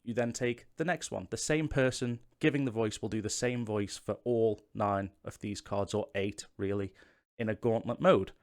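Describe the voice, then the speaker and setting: A man's voice: fairly low pitch, steady tone, moderate pace. Chatty man explaining game rules. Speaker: host of a board game tutorial channel. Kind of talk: casual how-to-play explanation to camera.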